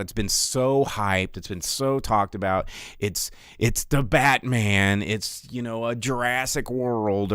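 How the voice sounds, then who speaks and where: A man talks off the cuff like the host of a live show, opinionated and slangy. His pitch moves around a lot as he slips into imagined quotes. The sound is dry and close, with casual, conversational phrasing. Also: a bit bright, dry recording.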